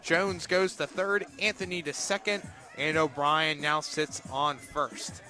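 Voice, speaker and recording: A man doing live play-by-play softball commentary for radio, with clipped, terse calls that lift on big plays. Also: fairly high pitch, steady tone, slow, faint music behind. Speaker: play-by-play sportscaster.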